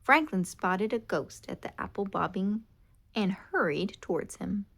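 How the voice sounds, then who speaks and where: A woman reading a children's picture book aloud in an expressive, animated way. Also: mid-range voice, a little slow, vocal fry, husky. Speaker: storybook reader. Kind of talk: children's read-aloud narration.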